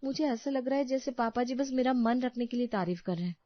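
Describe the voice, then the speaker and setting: A woman playing a gentle, anxious and dutiful young daughter-in-law in a family story, speaking politely and earnestly. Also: on the high side, a little fast, no room sound, muffled recording, close to an everyday voice. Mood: troubled. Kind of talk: acted dialogue in a Hindi family story.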